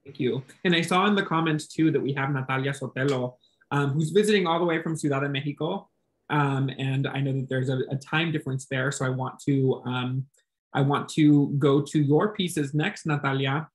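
Gentle man hosting a video-call art talk. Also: mid-range voice, fairly even intonation, moderate pace, low-quality recording. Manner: gentle, encouraging, thanks guests. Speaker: event host. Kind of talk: hosting a virtual artist talk.

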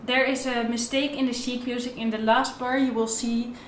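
A woman's voice: a little high, little pitch movement, normal speed, recorded from a distance, slight background noise. Young woman teaching, echoey room. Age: young adult. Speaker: violin teacher. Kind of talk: instructional tutorial talk, explaining to camera. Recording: roomy, echoey indoor sound.

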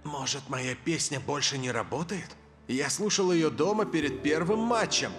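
A man voicing a proud, touchy star footballer in an animated cartoon, cocky and emotional. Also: on the high side, normal speed, over music, close to an everyday voice. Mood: dejected.

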